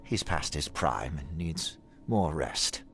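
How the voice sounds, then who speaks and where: An actor playing a courteous, benevolent heir of an influential family in a video game, speaking formally and with measured politeness. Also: male voice, extremely low pitch, animated, fairly bright tone, faint music behind, full character voice. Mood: composed, guarded. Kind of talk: video game cutscene dialogue.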